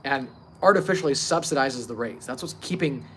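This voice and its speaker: A man's voice: medium pitch, a little fast. Confident thirty-year-old man, noisy livestream. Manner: confident, informal, mild swearing, emphatic. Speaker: livestream host, real estate investor. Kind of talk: casual livestream monologue on investing. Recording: clear steady background ambient noise.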